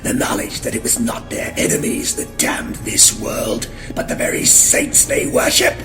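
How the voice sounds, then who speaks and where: An actor playing a menacing, taunting vampire who accuses and interrogates in a theatrical audio drama. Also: male voice, far from the mic, over music, close to an everyday voice. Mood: mocking. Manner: taunting, theatrical, slow deliberate delivery. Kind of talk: fan audio drama acting.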